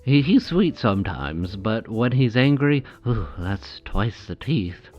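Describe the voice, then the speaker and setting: Man acting nervous creepy superfan, adoring, hesitant, drawn-out delivery, comedic audio drama, slightly dull recording, faint music. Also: medium pitch. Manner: hesitant, stammering, eager, awkward.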